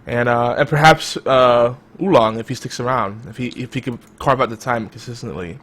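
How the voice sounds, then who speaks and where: A man hosting a casual podcast chat, talking in a hyped-up, loud, energetic way. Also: medium pitch, moderate pace. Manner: energetic, self-described loud and hype. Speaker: podcast host.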